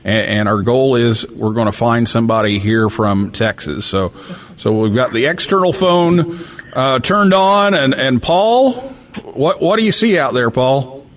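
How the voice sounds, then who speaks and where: A man hosting a live radio talk show from a hotel, talking in a halting way with many fillers and restarts. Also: mid-range voice, animated. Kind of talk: live call-in show banter. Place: hotel room during a convention.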